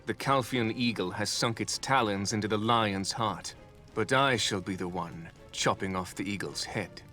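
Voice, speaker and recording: Ambitious game commander, lowish voice, formal declamation, soft music behind. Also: male voice, normal speed. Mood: scheming, resolute.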